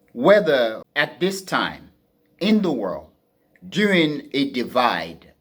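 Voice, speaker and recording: A man with a fairly low, somewhat husky voice delivers unscripted commentary as a podcast host. He speaks deliberately and emphatically, restating phrases as he builds his point. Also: fairly bright tone.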